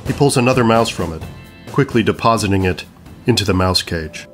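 A man's voice: fairly low pitch, fairly quick, soft background music. A man narrating scripted stage directions for an audio play.

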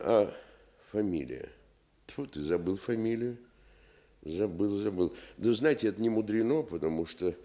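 An actor in a Soviet radio play voicing a pompous, self-important housing activist who speechifies officiously with false modesty. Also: male voice, fairly low pitch, darkish tone, close to an everyday voice. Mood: fussy. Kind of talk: Soviet radio theatre acting.

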